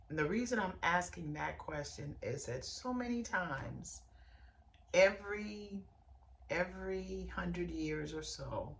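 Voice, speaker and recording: A middle-aged woman hosting a motivational podcast lesson, hoarse from a summer cold, with a raspy, bassy voice and a chatty manner that speaks straight to her listeners. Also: a little hiss or hum. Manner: warm, chatty, direct address to listeners. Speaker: podcast host, hairstylist and coach.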